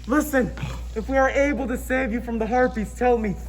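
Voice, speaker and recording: A man's voice: extremely high pitch, fairly even intonation, a little fast, background noise. A man playing a bold, commanding young ship captain in theatrical stage style. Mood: earnest. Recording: echoey stage, music and crowd behind.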